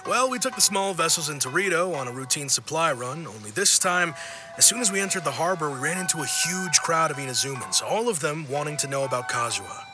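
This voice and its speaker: An actor playing an easygoing, friendly young-sounding sailor in a video game, chatty and casual. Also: male voice, on the high side, animated, brisk, over music, natural voice. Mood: amused, chatty. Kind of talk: video game cutscene acting.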